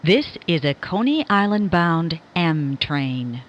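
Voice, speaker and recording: A woman reads scripted subway announcements as a recorded train announcer, in a measured, formal, clearly enunciated manner. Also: low voice, sing-song, dull audio.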